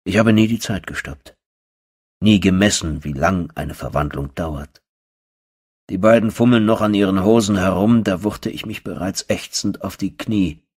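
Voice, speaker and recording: A man's voice: deep voice, normal speed, darkish tone, husky. A man narrating a first-person horror audiobook in a dramatic, brooding and theatrical way.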